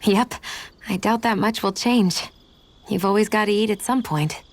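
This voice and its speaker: A woman playing a composed, quietly affectionate young adventurer in a video game, with a gently teasing manner. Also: mid-range voice, normal speed, lightly acted. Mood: warm, wistful. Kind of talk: video game cutscene acting.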